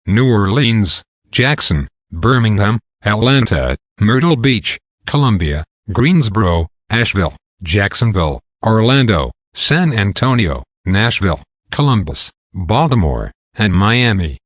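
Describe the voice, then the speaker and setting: A man's voice: on the low side, very animated, a little slow, dry recording, studio quality. A man delivering a scripted government emergency warning in a formal, matter-of-fact announcer style.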